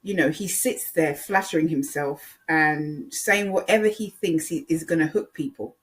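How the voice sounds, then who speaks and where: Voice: medium pitch, moderate pace. Casual, repetitive vlogger with a mid-range voice. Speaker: online video creator. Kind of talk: casual rambling commentary.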